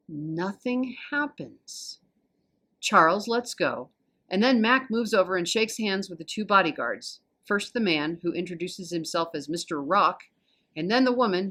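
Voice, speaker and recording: Expressive American woman reading aloud. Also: medium pitch. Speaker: volunteer storybook reader. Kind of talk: expressive read-aloud narration of a children's novel.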